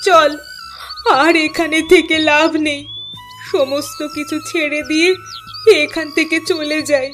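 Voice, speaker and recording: A woman's voice: sits high, little pitch movement, unhurried, fairly bright tone. An actress playing a weary, sorrowful village mother in a cartoon, with a plaintive, emotional delivery. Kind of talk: melodramatic cartoon dialogue. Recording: music behind the voice.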